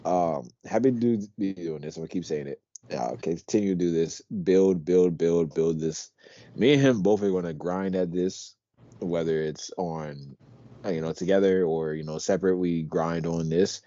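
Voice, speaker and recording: Young male podcast co-host: very low, dark, mumbly voice through his teeth, dry, dull lo-fi mic. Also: normal speed, no room sound. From Pennsylvania. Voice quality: deep, quiet, talks through his teeth. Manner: relaxed, rambling, swears casually. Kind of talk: casual chat.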